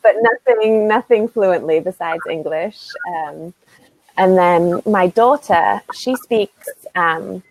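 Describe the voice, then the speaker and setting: A woman's voice: fairly low pitch, poor audio. American woman tutoring on a video call. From United States. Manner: friendly, unhurried, laughs between sentences. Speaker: online English tutor. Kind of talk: casual conversation lesson.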